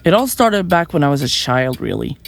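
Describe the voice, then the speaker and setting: Glib, self-important woman killer, lively pitch. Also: a little fast, gravelly, heavily acted. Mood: smug enthusiasm. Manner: glib, defensive, swearing. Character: flippant, self-important murderous young woman, obsessed with horror. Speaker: murderous podcaster in a therapy session. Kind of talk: audio drama acting, conversational.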